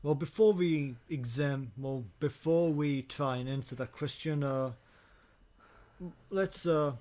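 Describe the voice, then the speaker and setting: Male podcast host, slightly higher voice, halting delivery, stammered repetitions, casual conversational style. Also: dark tone. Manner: halting, stammering, repeats words.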